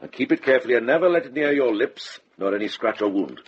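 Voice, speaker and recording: An actor playing a wise older monk herbalist in a radio drama, measured, practical and gently admonishing. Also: male voice, medium pitch, expressive, natural voice. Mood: brisk, careful. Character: wise older Welsh monk herbalist, kindly but brisk. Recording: dull, hissy radio drama recording.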